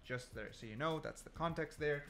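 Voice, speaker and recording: A man's voice: a little high, moderate pace, sharp tone, slight background noise. A man giving casual gameplay commentary, explaining things and repeating points for emphasis. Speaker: gaming video creator.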